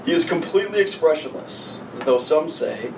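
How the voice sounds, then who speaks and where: A man's voice: fairly high pitch, lively intonation, normal speed, gravelly. A young man, chatting casually with friends and reading a story aloud. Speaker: podcast co-host. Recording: roomy, noisy, far from mic.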